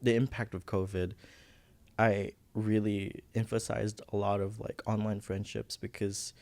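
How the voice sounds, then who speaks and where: Young guy, visayan, talks slow and halting with a gravelly edge, searching for words. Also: fairly low pitch, little pitch movement. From Philippines. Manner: soft-spoken, halting, searching for words, laughs nervously. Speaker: podcast guest. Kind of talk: personal story in casual interview.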